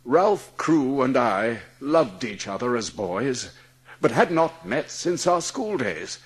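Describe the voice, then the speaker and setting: Man playing an anguished gentleman, swooping intonation. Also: mid-range voice, very animated, slightly rough, exaggerated voice. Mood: troubled, unsure. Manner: anguished, halting. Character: guilt-ridden ailing wealthy English gentleman. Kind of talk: dramatised audiobook acting.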